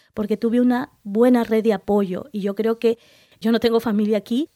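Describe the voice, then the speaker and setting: Warm earnest woman on a panel. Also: mid-range voice, dry recording, studio quality. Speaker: foundation worker, former intern. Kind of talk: panel conversation.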